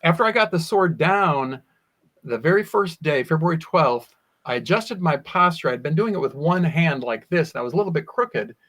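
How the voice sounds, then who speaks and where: A man with a somewhat high-pitched voice speaks as a guest in an interview. He is talkative and tells personal stories, often quoting himself as he goes. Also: lively intonation, normal speed, fairly bright tone. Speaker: guest, sword swallower. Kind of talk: animated anecdotal interview storytelling.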